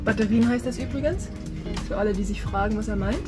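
A woman with a slightly high voice chats cheerfully inside a boat cabin and adds teasing remarks for the viewers. Music plays clearly under her voice. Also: fast. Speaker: sailing vlogger, co-host. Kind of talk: casual vlog chat. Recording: background music throughout.

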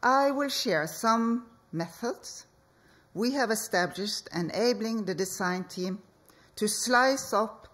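A woman speaks as an architect presenting at a conference, her pitch sitting in an ordinary middle range. She talks slowly and deliberately, leaving pauses between short phrases. She speaks into a podium microphone on a hall stage. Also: a bit bright. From Norway. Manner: slow, measured delivery with deliberate pauses. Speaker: architect and project leader.

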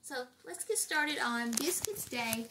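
Middle-aged woman, high animated voice, encouraging read-along coach, casual delivery, recorded at home with noticeable background noise. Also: slow. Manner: encouraging, prompting word by word. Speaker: read-aloud host helping a child read. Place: living room, indoors.

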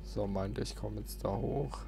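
A man's voice: fairly low pitch, moderate pace, creaky. A man giving casual, chatty let's play commentary, thinking aloud to his viewers. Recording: noisy, game sound behind the voice. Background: video game sound effects and ambience.

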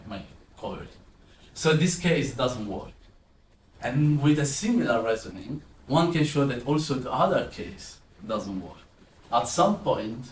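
Young Italian man teaching in an echoey room. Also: medium pitch. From southern Italy. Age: 20s. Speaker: maths teacher. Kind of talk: explanatory classroom presentation. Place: indoor classroom with whiteboard and screen. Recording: roomy echo, distant, some background noise.

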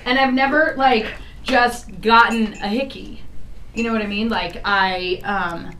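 High-voiced American woman, roomy and distant. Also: fairly even intonation, a little slow, pushed and bright. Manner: giggly, chatty. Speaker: radio morning show co-host. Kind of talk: casual on-air banter. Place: small radio studio. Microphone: studio mic picked up by a camera at a distance. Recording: roomy, distant camera audio with noise.

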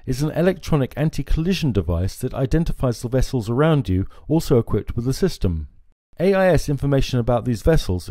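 A man, presenting marine navigation equipment in a small showroom in a steady, informative way on a lapel mic. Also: medium pitch, dry recording, studio quality. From France. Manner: steady, informative, gestures at screens. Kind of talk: scripted product explainer.

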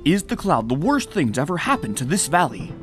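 A man's voice: fairly high pitch, big pitch swings, brisk, music in the background. A man voicing an earnest cartoon pony news reporter, grave and overwrought in a mock broadcast style. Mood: grave, probing.

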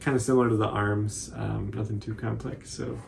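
Creaky-voiced young man, laid-back. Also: lowish voice, fairly even intonation, brisk. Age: 20s. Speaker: cosplay channel host. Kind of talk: casual tutorial chat. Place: small room indoors on a couch. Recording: faint background noise.

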